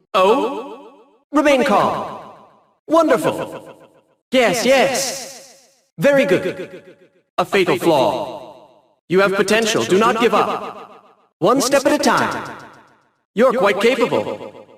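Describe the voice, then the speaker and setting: An actor playing a haughty, eccentric young genius villain in a video game, with a light youthful voice and precise, wordy, theatrical diction. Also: male voice, high voice, very animated, extremely slow, pauses often, reverberant, heavily acted. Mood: smug encouragement. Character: small, prim, eccentric genius villain, smug and condescending.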